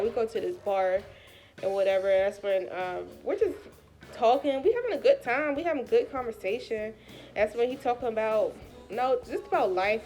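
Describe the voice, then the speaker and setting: An American woman vlogger with a congested, stuffy voice, chatting casually through a personal story in colloquial speech and acting out the dialogue as she goes. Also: a little high. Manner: colloquial, animated retelling with quoted dialogue.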